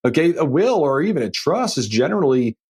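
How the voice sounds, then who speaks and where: A man with a lively, swinging pitch and a slightly husky voice hosts an advice podcast. He speaks as a financial advisor, informally and straight to the listener, in an easy conversational monologue. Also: fairly quick. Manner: informal, direct address with frequent checking tags like "okay?" and "right?".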